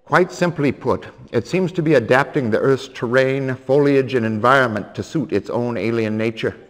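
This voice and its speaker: An actor playing an eccentric, excitable male scientist who lectures in halting, theatrical jargon in a game cutscene. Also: medium pitch, close to an everyday voice. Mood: grave, emphatic. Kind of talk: dramatic game cutscene acting.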